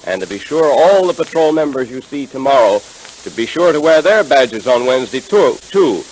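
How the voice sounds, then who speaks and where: A man announcing in 1930s radio style, making an emphatic, enthusiastic scripted pitch to children. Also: big pitch swings, normal speed, a little mellow, low-quality recording. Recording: hissy 1930s radio recording.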